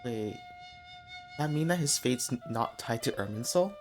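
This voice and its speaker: Male game streamer: casual, quick muttered asides over clear background music. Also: medium pitch, animated. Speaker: game streamer reacting to cutscenes. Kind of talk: casual live commentary.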